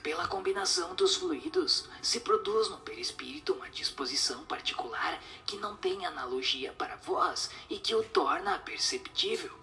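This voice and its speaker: A man voicing a solemn spirit who answers questions in a breathy, whispery, didactic way. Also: high-pitched, big pitch swings, bright, forceful tone, soft background music, full character voice. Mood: solemn, instructive. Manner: didactic, measured explanations.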